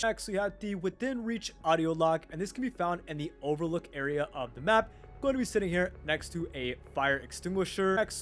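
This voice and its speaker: A man doing an informal voice-over for a video game guide, explaining things step by step. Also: high voice. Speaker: gaming video creator. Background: background music.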